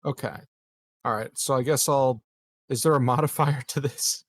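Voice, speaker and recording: A man's voice: mid-range voice, steady tone, moderate pace, dry recording, clean studio sound. A man playing a tabletop game, speaking casually in a hesitant, joking way. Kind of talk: casual banter.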